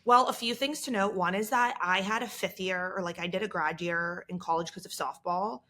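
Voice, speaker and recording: A woman's voice: on the low side, steady tone, normal speed, fairly bright tone. A woman in her early thirties co-hosting a podcast, telling candid, self-deprecating stories in casual chat. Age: 30s. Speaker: podcast co-host.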